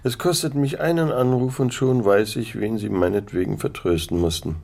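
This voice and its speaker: An actor playing a cool, probing male government investigator in a radio drama, speaking in a measured, formal, quietly pressing way. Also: fairly low pitch, creaky. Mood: insinuating.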